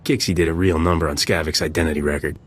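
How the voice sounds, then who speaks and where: An actor playing a roguish, dryly cocky smuggler captain in a video game. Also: male voice, very deep voice, fairly even intonation, fast, a little hiss or hum. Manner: dry, cocky. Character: roguish, wry male smuggler starship captain. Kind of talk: video game hero acting.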